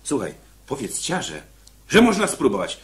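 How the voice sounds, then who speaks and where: A gruff, stubborn male convict in a radio drama. He grumbles and exclaims irritably, his pitch swinging widely from phrase to phrase. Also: huge pitch swings, a little slow. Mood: excited, scheming.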